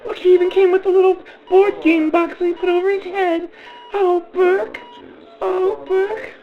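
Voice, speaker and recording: A man's voice: very high voice, flat delivery, measured pace, narrow, tinny audio, over music. A man voicing a fawning, scheming young housewife in a campy comedy radio play, gushing and stretching out words theatrically. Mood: overjoyed, shrieking.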